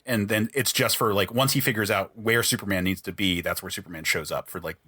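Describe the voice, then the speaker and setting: Bright-voiced, lowish man talking casually. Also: fairly even intonation, fairly quick, husky, no echo. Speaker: podcast host. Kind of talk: casual podcast discussion.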